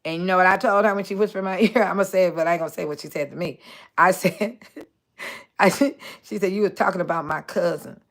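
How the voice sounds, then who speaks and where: A woman's voice: fairly low pitch, fast. Older woman host, 60s, casual livestream banter, frequent laughter, talks straight to her audience. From Chicago area. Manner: laughing often, chatty, talking directly to viewers by name. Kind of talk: casual livestream chat reading viewer comments.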